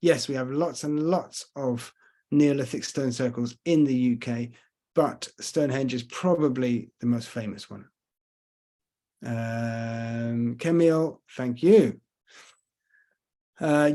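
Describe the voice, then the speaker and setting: A man's voice: mid-range voice, a little mellow. Tour guide, male, London accent with slight Scottish traces, chatty, hesitant, video call mic. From Scotland and London. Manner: chatty, hesitant with many fillers. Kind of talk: casual online lecture with Q and A. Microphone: computer mic on video call.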